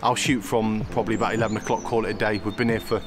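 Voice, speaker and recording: Low-voiced man vlogging outdoors. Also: little pitch movement, racing, music in the background. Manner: chatty, repeats "it really does". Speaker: angler filming his own fishing trip. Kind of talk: casual outdoor vlog narration. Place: outdoors on a fenland drain bank.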